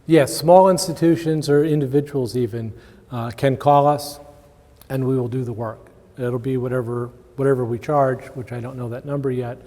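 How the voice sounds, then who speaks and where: A man gives an informal conference presentation in a relaxed, mellow voice. He speaks at a middling pitch, and the hall adds a long reverberant tail to his words. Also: moderate pace. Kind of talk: informal conference talk with audience questions. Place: large indoor conference room with long reverb.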